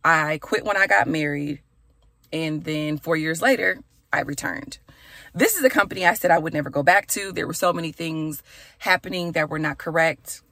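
A woman in her thirties hosting a podcast from her car, chatty and raspy, saying a prayer for her listeners. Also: sits low, moderate pace, no echo. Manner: chatty and warm, with "y'all" and "okay". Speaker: podcast host. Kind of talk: casual chat with prayer.

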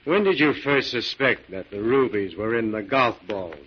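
A man playing a brisk police inspector questioning a suspect in a 1940s radio crime drama. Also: mid-range voice, expressive, slightly rough. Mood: brisk, stern. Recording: muffled 1940s radio recording.

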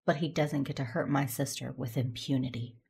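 An actress playing a calm, dutiful older-sister Jedi in a sci-fi audio drama, restrained and earnest, over music. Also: female voice, rumbling low, fairly quick, vocal fry. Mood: solemn concern. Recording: music under the voice.

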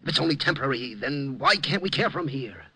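An actor playing a nervous, placating undertaker son who stammers anxiously in a 1950s radio drama. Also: male voice, medium pitch, big pitch swings, fast talker, pushed and bright. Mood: anxious persuasion.

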